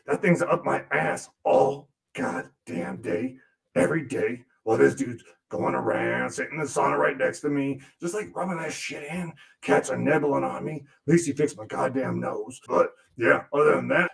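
A foul-mouthed demon puppet grumbles and sneers in a gravelly, fry-laced voice, as a man puts him on. He sits at a middling pitch and rambles with crude sarcasm, griping about the man who works him. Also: moderate pace. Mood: sarcastic. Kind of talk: comic monologue in character.

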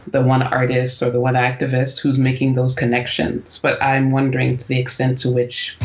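A man's voice: medium pitch, gravelly. A man hosting a podcast, asking long, thoughtful questions in a casual conversation. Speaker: podcast host. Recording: dull lo-fi call audio.

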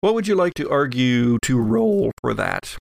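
A man with noticeable fry in the voice narrates a horror tabletop game as its game master. The delivery is improvised and halting, and the sound is close and dry. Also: medium pitch, sing-song, no room sound. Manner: halting, thinking aloud as they narrate.

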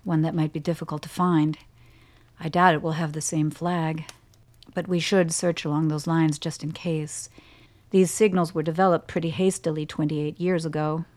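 A woman's voice: deep voice, little pitch movement, normal speed, a little mellow, no room sound, natural voice. A woman playing a cool, exacting scientist in a radio drama, speaking in a clipped, authoritative manner. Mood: measured, analytical.

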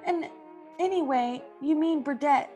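Adoring young woman, acted by a woman, high pitch, fluttery and earnest, old-time radio romance. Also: unhurried, dark tone, faint music behind. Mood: flustered. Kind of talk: classic radio play acting.

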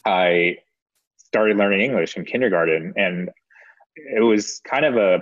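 Low-voiced man, dull recording, reflective. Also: a little slow, dry recording. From United States. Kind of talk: casual chat, personal storytelling. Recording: dull, band-limited audio.

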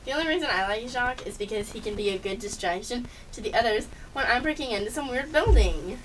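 A woman's voice: on the high side, lively intonation, fast talker, natural voice. A woman playing a bossy, sarcastic female cat with world-domination ambitions in an amateur audio comedy. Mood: scornful. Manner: sarcastic, insulting, superior. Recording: distant mic, faint background hiss.

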